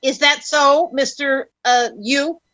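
Bright, high-voiced, insistent young wife. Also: female voice, steady tone, dry recording. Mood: challenging. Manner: brisk, insistent, skeptical. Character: shrewd, bargain-hunting, assertive young wife. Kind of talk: 1940s radio comedy acting. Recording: dull old radio recording.

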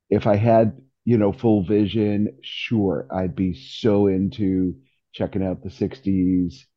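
A man in his sixties chatting casually in a group discussion, rambling and thinking aloud in an animated way. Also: deep voice, highs cut off. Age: 60s. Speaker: discussion participant.

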